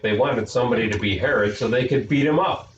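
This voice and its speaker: A man's voice: mid-range voice, fast talker. A man reading a storybook aloud in the role of narrator. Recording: echoey room, distant mic, noisy, dull.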